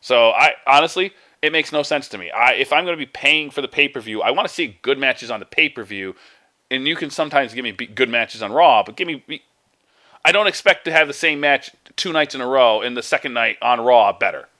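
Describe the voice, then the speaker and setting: Podcast co-host, man, bright forceful tone, rambling and animated. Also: mid-range voice, a little fast. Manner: rambling, animated, restarts often. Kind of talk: casual wrestling chat.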